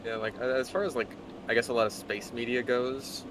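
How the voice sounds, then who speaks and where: A man's voice: mid-range voice, steady tone, brisk, background noise. A man giving casual commentary as a co-host, blunt and sardonic, swearing freely. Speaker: co-host reading a story aloud.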